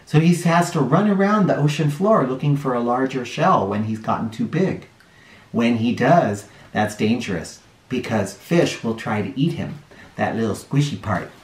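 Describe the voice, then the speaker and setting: A man in his forties reading a picture book aloud to children in an expressive, explanatory way. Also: mid-range voice, normal speed. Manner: animated, explanatory, addressing young listeners. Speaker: children's storytime reader. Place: living room or playroom indoors. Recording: roomy home sound, distant mic.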